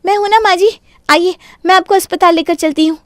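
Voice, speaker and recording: Woman acting young cartoon bride, high pitch, sugary, mock-innocent, pleading, sly, dry sound. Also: steady tone, fairly quick, no echo. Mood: sweetly helpful. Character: sly, sweetly apologetic young daughter-in-law. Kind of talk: Hindi cartoon story voice acting.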